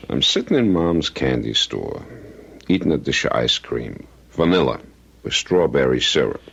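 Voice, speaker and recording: An actor playing a weary, stubborn middle-aged policeman and husband in an old-time radio drama, plain-spoken, gravelly and husky. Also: very deep voice, animated, moderate pace, fairly bright tone, exaggerated voice. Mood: weary, brooding. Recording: dull, lo-fi radio recording.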